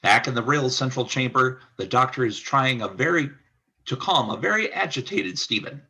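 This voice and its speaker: Husky, forceful male radio play narrator. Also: medium pitch, animated, moderate pace, rough recording. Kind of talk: scripted radio drama narration.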